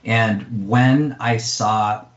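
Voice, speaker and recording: A man speaks very slowly in casual chat. His voice carries a roomy echo, and the sound is dull and lo-fi. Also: on the low side, steady tone. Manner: slow, short agreeing replies. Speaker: podcast co-host.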